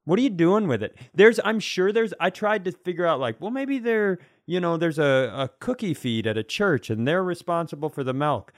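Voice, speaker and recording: A man's voice: a little high, expressive, normal speed, few pauses, dry recording, studio quality. A man co-hosting a comedy podcast in casual conversation, rambling through wry anecdotes and speculation.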